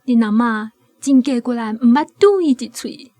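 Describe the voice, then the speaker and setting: A woman's voice: a little high, lively intonation, moderate pace, mellow, no room sound. A woman with a Taiwanese accent narrating a scripted radio drama as a storyteller.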